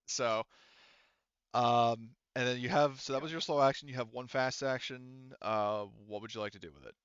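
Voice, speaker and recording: A man's voice: mid-range voice, fairly even intonation, moderate pace, a bit bright, clear, resonant tone, dry recording, dull audio. A man acting as game master in a tabletop game, casually narrating and explaining rules while thinking aloud.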